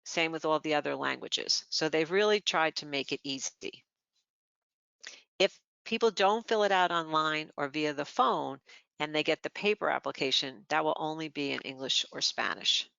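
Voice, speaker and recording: Webinar presenter, bright crisp delivery with some fry, methodical slide-by-slide explainer, dry, slightly dull audio. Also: mid-range voice, moderate pace, no room sound. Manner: steady, explanatory, walks through each slide point by point.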